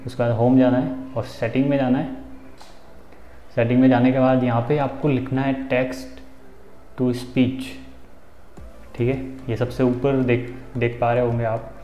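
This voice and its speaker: A man's voice: mid-range voice, slight background noise. A man in his twenties with an Indian accent gives a casual, informal step-by-step phone tutorial as host, often checking that the listener follows. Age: 20s. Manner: informal, instructional, frequent check-in tags. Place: small indoor room. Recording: roomy echo, dull sound, faint music.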